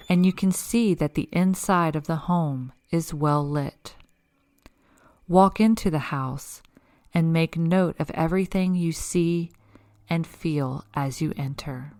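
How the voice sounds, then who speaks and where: Slow, low-voiced woman guiding a meditation. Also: no room sound. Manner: calm, measured, instructional. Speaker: guided meditation leader. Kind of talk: scripted guided meditation narration. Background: background music.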